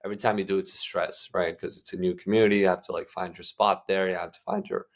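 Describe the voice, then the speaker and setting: A man's voice: low-pitched, level tone, fast. A young man from Uzbekistan, a guest answering interview questions in a loose, casual way with lots of fillers and restarts. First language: Russian. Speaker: guest entrepreneur.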